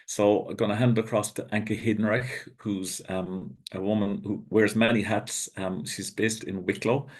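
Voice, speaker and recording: A man hosting an online webinar, with a fairly low voice that stays flat and even. He introduces the next speaker haltingly, often repeating words as he finds his place. He is heard over a video call. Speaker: webinar host.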